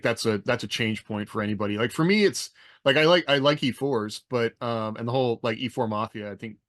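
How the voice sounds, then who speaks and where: Lowish male podcast voice, dry sound. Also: fairly bright tone, no room sound. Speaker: podcast panelist, military veteran and writer. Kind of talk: casual podcast discussion.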